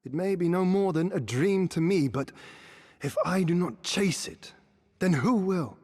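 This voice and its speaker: Solemn young exiled prince, husky voice. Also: male voice, sits high, lively intonation, darkish tone, long reverb tail. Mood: earnest, determined. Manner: formal archaic diction, measured, oratorical. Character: young exiled prince of a conquered eastern land, earnest, stoic. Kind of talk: cinematic video game voice acting.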